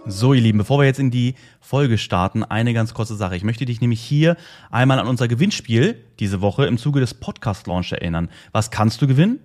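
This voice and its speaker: A man of middle pitch reads a short scripted notice straight to the listeners. His delivery is direct and clear. It sounds like a promo read on a podcast. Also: lively intonation, fairly quick, darkish tone, soft background music. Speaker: podcast announcer. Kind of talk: scripted announcement.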